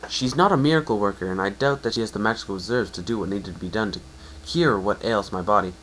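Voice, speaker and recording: Low-pitched man narrating a story. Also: fast, a bit bright, slight background noise, rough recording. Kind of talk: second-person dramatic story narration.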